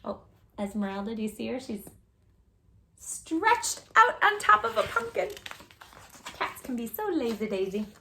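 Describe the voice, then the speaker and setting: A woman hosting storytime, reading a picture book aloud expressively and chatting playfully with young listeners. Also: a little high. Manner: playful, animated, addressing children directly. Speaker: children's storytime reader and host.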